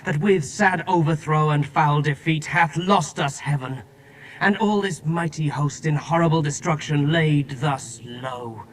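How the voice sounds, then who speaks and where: A man acting an impassioned, anguished fallen angel, high-voiced. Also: a little hiss or hum, lightly acted, low-quality recording. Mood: grave, rueful. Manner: declamatory, impassioned, formal. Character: Beelzebub, anguished fallen angel, proud yet despairing lieutenant. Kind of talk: classical verse radio drama.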